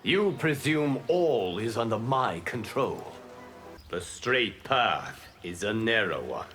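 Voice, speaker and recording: A man playing a calm, wise, admonishing god in a fighting game, with a solemn, sage-like manner. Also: on the low side, slow, faint music behind, full character voice. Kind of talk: fighting game dialogue acting.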